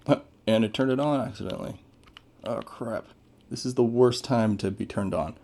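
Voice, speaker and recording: A man's voice: mid-range voice, moderate pace, mellow. A man giving casual, off-the-cuff unboxing commentary as a tech reviewer, laid-back and informal with plenty of slang.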